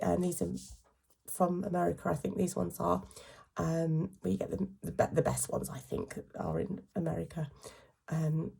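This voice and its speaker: Croaky British woman, low voice, slight cold. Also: darkish tone. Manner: chatty, informal, self-correcting. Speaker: craft channel host. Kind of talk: casual hands-on tutorial.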